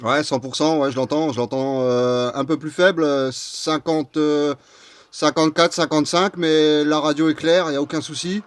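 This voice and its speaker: A man's voice: on the high side, fairly even intonation, moderate pace, clean tone, no echo. A man from northeast France working as an amateur radio operator, chatting casually over a two-way radio. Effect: two-way radio transmission. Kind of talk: casual radio contact with signal reports.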